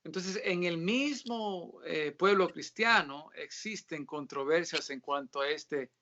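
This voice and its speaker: A man, a guest author, answering religious questions in a casual interview, talking haltingly with many filler sounds and pauses. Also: a little high, bright, forceful tone, highs cut off. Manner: reads scripture aloud in a steadier tone.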